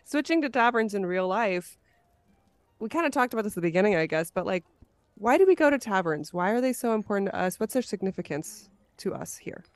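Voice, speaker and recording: A woman's voice: mid-range voice, expressive, brisk, no echo. A woman hosting a podcast in a casual, conversational style. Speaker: podcast host.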